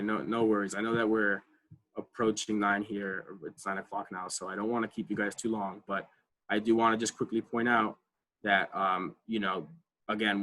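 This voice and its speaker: A man with a bright, forceful voice and a slightly low pitch runs an online advising class from his computer mic. He talks casually, leans on fillers and keeps asking "right?" to check in with his listeners. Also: rough recording. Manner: conversational, many fillers, frequent "right?" checks. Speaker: college advising workshop presenter. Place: online video call.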